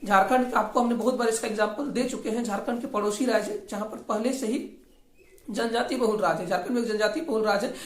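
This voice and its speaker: A woman's voice: fairly high pitch, fast. A woman hosts informal political commentary, speaking critically and argumentatively and often repeating her points. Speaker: host of a regional affairs channel. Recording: echoey, roomy voice recording.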